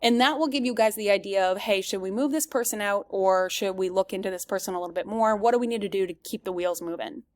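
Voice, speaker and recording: A woman giving a semi-scripted product demo as a presenter, explaining each step clearly and addressing viewers directly. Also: mid-range voice, fast, few pauses, a little mellow, no echo.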